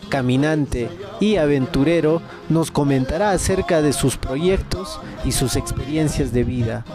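A man with a Peruvian accent previewing a radio programme from a script as a host. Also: a little high. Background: music bed.

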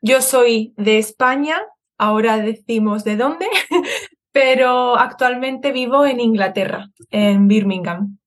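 A woman's voice: on the high side, takes it slowly. A woman from southern Spain with a Spanish accent from Spain, a guest explaining things conversationally and correcting herself as she goes. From Seville, Spain, born in Madrid. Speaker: podcast guest, online Spanish teacher. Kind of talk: casual explanatory interview chat.

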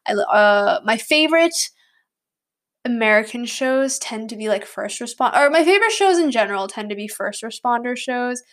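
A woman's voice: a little high, lively intonation, normal speed. Chatty young woman with lots of likes. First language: English. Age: young adult, student. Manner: rambling with many likes and ums. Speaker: podcast host. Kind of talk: casual solo podcast chat.

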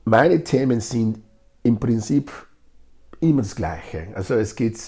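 A man's voice: medium pitch, big pitch swings, a little slow, warm tone. A middle-aged man from Nigeria, a native speaker of Edo, answering interview questions in an explanatory way, repeating words for emphasis. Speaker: interview guest, integration project leader.

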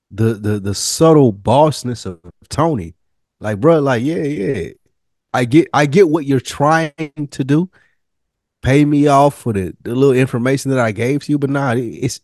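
A man co-hosting a podcast, talking in an animated, emphatic way with profane slang. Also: medium pitch, dry recording, studio quality. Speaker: podcast co-host. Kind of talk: casual chat.

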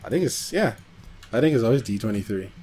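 A man co-hosting a podcast in casual chat about films, talking in a jokey, slangy, animated way. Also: fairly low pitch, fast, slightly rough. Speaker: podcast co-host.

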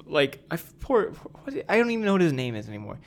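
A young male podcast co-host talks in a joking, playful way. His pitch swings widely as he talks, and he is quick to laugh. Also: sing-song. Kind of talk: casual chat.

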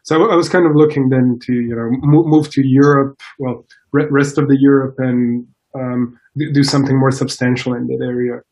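Soft-toned man, halting and self-correcting. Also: mid-range voice, fairly even intonation, low-quality recording. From Croatia. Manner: hesitant, many restarts and fillers. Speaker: scientist guest. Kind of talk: casual interview.

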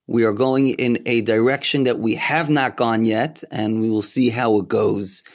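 A man hosting a comedy podcast, asking questions slowly and deadpan. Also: medium pitch. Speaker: podcast host.

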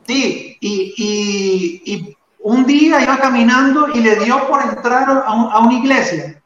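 A Venezuelan man with a Venezuelan accent and a high voice speaks earnestly, stumbling over fillers and restarts. His voice comes through a very echoey room on a dull, muffled line. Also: a little slow, a bit bright. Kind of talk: casual faith testimony chat.

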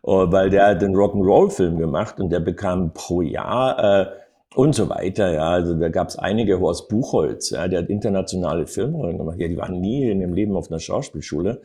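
An older man telling anecdotes as an interview guest, in an animated storytelling manner with frequent little tag words. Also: deep voice, brisk. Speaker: acting coach and interview guest.

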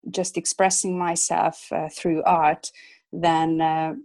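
Softly hesitant woman from Hungary. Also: deep voice, flat delivery, slow pace, dry recording. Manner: hesitant, many fillers, soft laughs. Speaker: illustrator guest. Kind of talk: casual interview chat.

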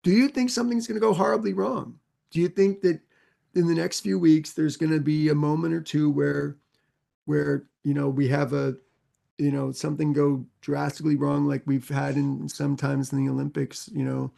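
A man's voice: fairly high pitch, level tone, moderate pace, creaky, mellow. A man co-hosting a podcast in casual chat, hesitant and questioning, full of fillers and restarts. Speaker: podcast co-host.

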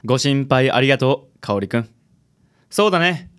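A suave ladykiller, played by a man with a mid-pitched voice. He is gallant and very pleased with himself, speaking with theatrical cool and the odd English word in radio-comedy style. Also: expressive, moderate pace, a bit bright, lightly acted. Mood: suave, unruffled. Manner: smooth-talking, gallant, theatrically cool, sprinkles English words. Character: suave, self-admiring handsome man, charming ladykiller. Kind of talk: radio comedy drama acting.

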